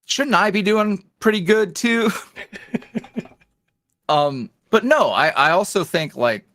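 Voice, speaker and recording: A man's voice: sits high, animated, slow pace, fairly bright tone, no room sound, studio quality. A young man talking casually as a podcast guest, rambling with lots of fillers and restarts. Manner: rambling, filler-heavy, self-reflective. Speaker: podcast guest, roleplay creator. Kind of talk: casual interview chat.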